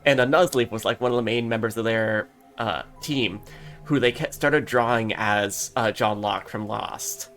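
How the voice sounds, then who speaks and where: A man's voice: lowish voice, normal speed, a bit bright, faint music behind. Rambling man podcast co-host. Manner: rambling, explanatory, casual swearing. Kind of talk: casual chat.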